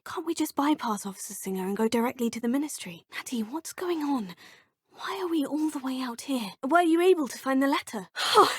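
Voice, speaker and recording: A woman's voice: high-pitched, dry recording, studio quality. A woman with a British accent voicing a curious, polite young witch student in a video game. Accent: British English. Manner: polite, proper, crisp phrasing. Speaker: player character. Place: recording studio.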